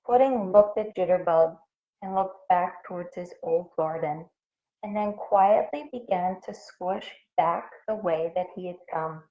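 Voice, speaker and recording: A woman reading a picture book aloud expressively to young children, pausing to explain and ask questions as a teacher would. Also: lowish voice, dull audio.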